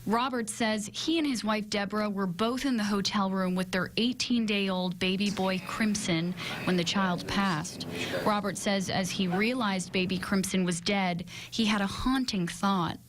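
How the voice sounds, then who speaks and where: A woman's voice: mid-range voice, fairly even intonation, unhurried, a little hiss or hum. American woman reporting scripted TV news. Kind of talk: scripted broadcast news narration.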